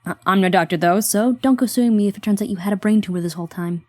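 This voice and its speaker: An actress playing a wry, reluctant but loyal helper in a horror audio drama, talking in a dry, conversational way. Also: female voice, mid-range voice, quick pace, no echo, lightly acted. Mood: dryly concerned.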